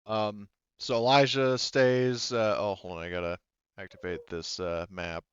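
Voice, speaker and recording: Smooth-voiced man running a tabletop game. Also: on the low side, level tone, dry recording, dull audio. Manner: halting, conversational asides. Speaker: game master. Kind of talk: narrating a tabletop roleplaying game.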